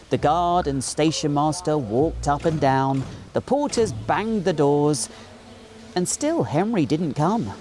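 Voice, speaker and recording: A man in his forties with a British accent, narrating a children's story in an animated reading style. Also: fairly high pitch. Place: small indoor recording studio.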